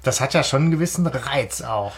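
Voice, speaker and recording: A man's voice: mid-range voice, animated, brisk, over a noisy crowd. A man co-hosting a casual fan podcast, talking reflectively and searching for his words as he discusses. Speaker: podcast co-host.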